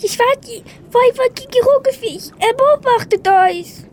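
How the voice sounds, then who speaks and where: A woman's voice: extremely high pitch, measured pace, a little mellow, slight background noise. A teenage girl playing a small, frightened but loyal blue fish in an amateur school radio play.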